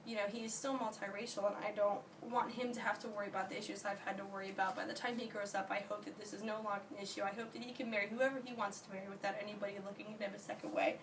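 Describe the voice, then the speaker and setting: A young woman who is hoarse from being sick gives an earnest class speech, halting often and restarting her sentences. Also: medium pitch, steady tone. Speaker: student giving a speech. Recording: distant mic, faint ambient noise.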